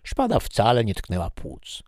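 A man voicing a calm physician who speaks in a brisk, reassuring way. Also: on the low side, dry recording, clean studio sound, voice pushed up, full character voice.

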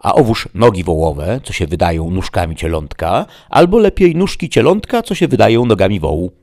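A man's voice: deep voice, very animated, fairly quick, darkish tone, no room sound, studio quality, pitched above the performer's own voice, heavily acted. A man voicing a wily, boastful innkeeper in a storybook, theatrical, exclaiming and wheedling.

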